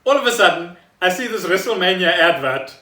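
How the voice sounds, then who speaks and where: A man co-hosting a casual podcast, explaining things in an animated, storytelling way. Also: sits high, brisk. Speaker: podcast co-host. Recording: roomy echo, faint music behind.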